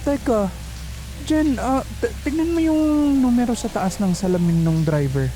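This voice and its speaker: A woman's voice: on the high side, lively intonation, moderate pace, dark tone, soft background music, lightly acted, low-quality recording. A woman with a Filipino accent playing a chatty, nervous young call center agent in an audio horror drama, with stammering, colloquial speech. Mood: impatient, uneasy.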